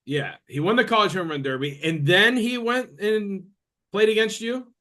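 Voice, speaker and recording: A man's voice: sits high, normal speed, bright, forceful tone. A man in his late thirties hosting a podcast, chatting casually and rambling with lots of fillers. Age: 30s. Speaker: podcast host. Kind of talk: casual chat.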